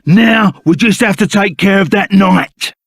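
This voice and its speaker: An actor playing a rough, loyal, excitable henchman in a video game, with a gravelly voice, a Cockney accent and blunt, chummy, slangy talk. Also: high voice, a little slow, no room sound, heavily acted. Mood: chummy, casual. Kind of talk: video game character voice acting.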